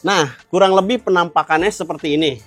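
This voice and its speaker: A man's voice: sits high, fairly quick, breathy edge, dry recording, faint music behind. A young adult man with a Jakarta Indonesian accent hosting a casual gear tutorial, explaining in colloquial language and checking in with viewers. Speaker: motovlog host.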